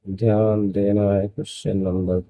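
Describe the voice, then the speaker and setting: Male maths tutor, early 20s, very mellow dark voice, dry recording, repetitive question-and-answer explaining. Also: on the low side, level tone, a little slow, dry recording. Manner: repeats phrases, asks and answers own questions. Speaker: maths teacher. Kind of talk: step-by-step school maths lesson.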